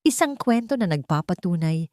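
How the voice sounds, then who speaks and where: A woman's voice: on the low side, big pitch swings, normal speed, a little mellow, no room sound, clean studio sound. A woman reading a scripted dramatic story as its narrator.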